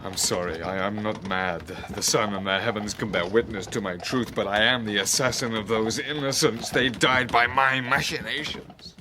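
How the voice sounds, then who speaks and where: An actor playing a guilt-ridden, brooding young scientist with halting, anguished delivery in a dramatised audiobook. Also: male voice, lowish voice, fairly even intonation, noisy background, lightly acted. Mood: anguished confession. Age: young adult.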